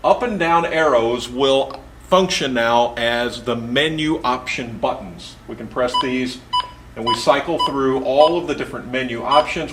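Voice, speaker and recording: A man talking through a hands-on tutorial, patient and methodical, naming each button press as he goes. His pitch sits in an ordinary middle range. Faint background hiss comes from the indoor room. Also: fairly bright tone, low-quality recording. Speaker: instructor. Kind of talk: step-by-step tutorial.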